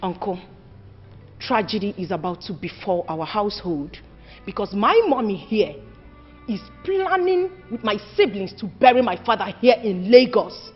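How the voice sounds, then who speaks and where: A woman's voice: very high-pitched, very animated, brisk, muffled recording, soft background music. A woman with a Nigerian accent playing an outspoken, indignant daughter who scolds her family with repeated questions in a film drama. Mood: aggrieved, pleading. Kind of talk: heated film drama acting.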